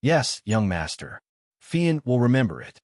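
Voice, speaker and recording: A man voicing a young slave girl of a nonhuman clan in a fantasy audiobook, formal, deferential and quietly confident. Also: medium pitch, very animated, unhurried, no room sound, clean studio sound. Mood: soft, secretive.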